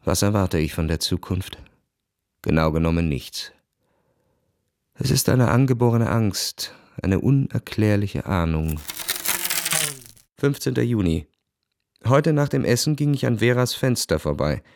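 An actor playing a cynical, world-weary young officer narrating his diary in a cool, measured, introspective way. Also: lowish voice, lively intonation, vocal fry, darkish tone, no room sound, studio quality. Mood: bitter, brooding. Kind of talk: literary radio drama narration, diary-like first person.

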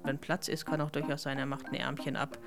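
A man's voice: on the high side, completely flat delivery, fairly quick, vocal fry, over music. A man as a matter-of-fact animal expert giving casual commentary in a radio drama.

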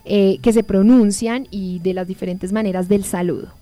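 A woman's voice: medium pitch, steady tone, moderate pace, dark tone, dry recording, soft background music. A woman hosting a radio interview, guiding her guest and restating points for the listeners in a friendly way. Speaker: radio host.

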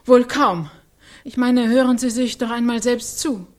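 A woman's voice: a little high, measured pace, close to an everyday voice. A woman playing a righteous intellectual art critic in a radio play, articulate, insistent and rhetorical. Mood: outraged. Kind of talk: radio play panel discussion.

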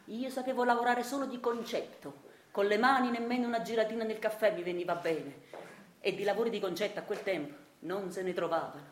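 A woman's voice: mid-range voice, fairly quick, lightly acted. A woman playing a meek, henpecked, middle-aged Neapolitan clerk in a theatrical radio monologue, telling a resigned and self-pitying tale. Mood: rueful, resigned. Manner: rueful, self-pitying storytelling. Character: meek henpecked Italian clerk of forty-seven, resigned and wistful. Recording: roomy echo, faint noise.

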